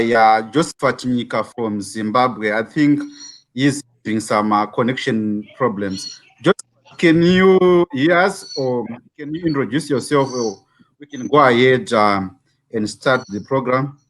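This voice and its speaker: A man moderating an online panel, speaking haltingly with many fillers and repeated words. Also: medium pitch.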